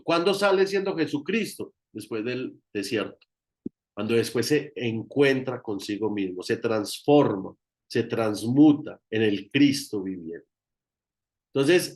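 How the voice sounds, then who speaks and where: A man with a Colombian accent giving an informal, emphatic teaching talk as a guest therapist, often repeating phrases for emphasis. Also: mid-range voice.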